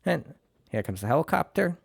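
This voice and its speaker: A man with a New England accent and a slight lisp, talking through a video game mission in a relaxed, rambling way while fighting a cold. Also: on the high side, a little fast, vocal fry, dry recording, studio quality. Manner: relaxed and rambling, many "uh"s and restarts. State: has a cold, clearing his throat. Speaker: game guide creator. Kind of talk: casual gameplay walkthrough commentary.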